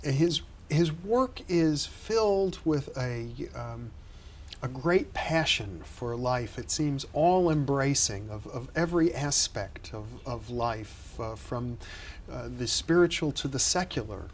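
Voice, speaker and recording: A man hosting a television studio interview, calm and measured, murmuring affirmations while his guest speaks. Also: mid-range voice, slight background noise. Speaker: host, psychologist.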